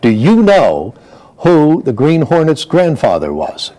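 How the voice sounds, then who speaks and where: A middle-aged or older man hosting a relaxed, conversational interview. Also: on the high side, slow pace. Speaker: interviewer, show host.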